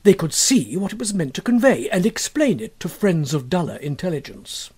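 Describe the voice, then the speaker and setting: A man with a fairly high-pitched voice reads a short story aloud as a scripted narration. His delivery is measured and expressive, in the manner of an audiobook storyteller. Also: breathy edge.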